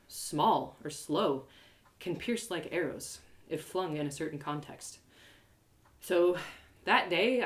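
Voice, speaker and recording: A woman reading a first-person fantasy story aloud in an expressive, theatrical and lyrical way. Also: lowish voice.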